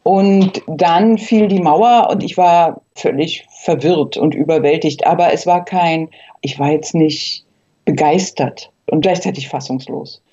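Mellow-voiced, reflective woman from Berlin. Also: deep voice, measured pace, husky, lo-fi sound. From Berlin, East Germany. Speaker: interview guest, eyewitness. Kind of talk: recollecting in an interview.

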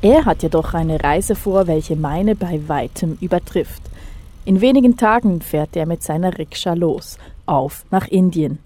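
A woman reporter narrating a radio feature in calm, scripted reportage. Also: fairly low pitch, measured pace.

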